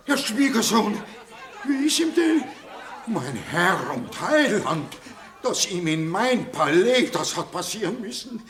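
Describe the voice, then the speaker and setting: Flustered dad, high swoopy voice, fawning and lamenting, radio drama. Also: male voice, very animated, slow, a bit bright, some chatter behind, full character voice. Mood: frantic, dismayed. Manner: agitated, obsequious, lamenting. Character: fussy, fawning, flustered rich old father. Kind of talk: radio play acting.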